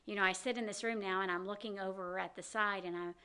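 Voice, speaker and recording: A woman's voice: mid-range voice, steady tone, quick pace, pushed and bright, clear, resonant tone. A middle-aged woman with a slight Southern US accent, answering interview questions earnestly with many ums and you-knows. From American South. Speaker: executive director of a downtown organization. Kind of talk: interview guest.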